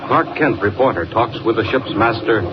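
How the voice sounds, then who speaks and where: A man's voice: fairly low pitch, moderate pace. A man narrating a radio serial in dramatic, scripted 1940s style. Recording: muffled, heavily noisy 1940 radio recording.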